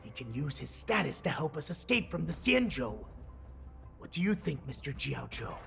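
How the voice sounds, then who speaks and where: An actor playing a servile, cruel beast henchman in a video game, obsequious and sneering, with a gravelly voice. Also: fairly high pitch, sing-song, normal speed, a bit bright, faint music behind, heavily acted. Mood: sly, eager. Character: scheming male beast henchman, servile to his lord, cruel. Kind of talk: dramatic video game acting.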